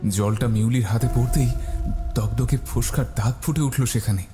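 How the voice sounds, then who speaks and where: A man's voice: mid-range voice, moderate pace. A man narrating a scripted horror story in a dramatic style. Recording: loud music bed behind. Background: music.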